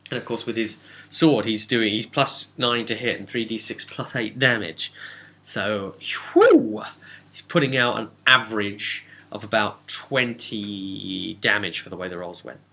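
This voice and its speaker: Bright-voiced man, rambling recap. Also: lowish voice, expressive, measured pace, vocal fry. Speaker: tabletop game master recapping a session. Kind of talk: casual unscripted vlog recap.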